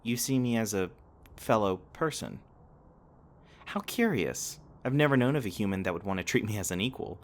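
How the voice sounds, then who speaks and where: A man plays a polite male companion robot in an audio roleplay. His voice sits fairly low but swings widely in pitch. He speaks in formal, precise sentences, curious and eager to please, taking everything literally. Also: big pitch swings, moderate pace. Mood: curious, thoughtful. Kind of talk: ASMR roleplay audio drama acting.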